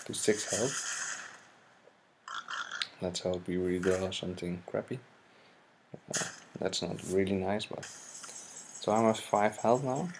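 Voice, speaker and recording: A young man from the Netherlands with a Dutch accent, hesitantly commenting on his own card game match in halting English. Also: lowish voice, gravelly. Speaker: gaming channel host. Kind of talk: gameplay commentary. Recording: noisy home mic recording.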